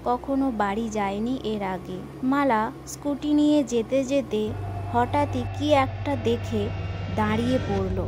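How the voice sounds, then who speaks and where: A woman's voice: a little high, noisy background. A woman telling a scripted story in a dramatic narrating style.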